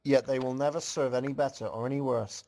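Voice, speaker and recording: A man voicing a philosophical pony mare narrator, solemn and moralizing, with a measured, sententious delivery in an amateur comic reading. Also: medium pitch, steady tone, vocal fry, dark tone, lightly acted. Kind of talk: amateur comic fan fiction read-aloud.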